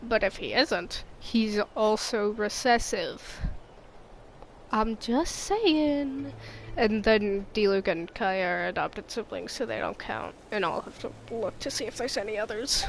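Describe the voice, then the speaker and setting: Slow-paced, playful woman streamer. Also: medium pitch, a little hiss or hum. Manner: playful, rambling, dry sarcasm. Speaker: game streamer. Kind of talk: casual gameplay commentary.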